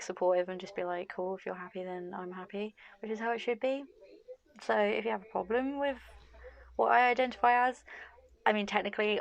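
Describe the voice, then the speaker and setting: A woman's voice: medium pitch, gravelly. A woman vlogger chatting frankly to camera, laughing often and losing her voice a bit. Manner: frank and chatty, with frequent laughs and "like, you know" fillers. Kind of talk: casual talking-to-camera vlog.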